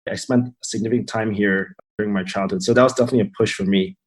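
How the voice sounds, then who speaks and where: A man from Thailand, a company co-founder and CEO, giving reflective, measured interview answers. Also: on the low side, steady tone.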